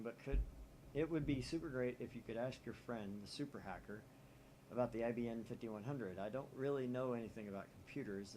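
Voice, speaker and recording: A man voicing a shy twenty-year-old woman whose email he reads out in a soft, hesitant, apologetic way. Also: mid-range voice, a little hiss or hum, natural voice, rough recording.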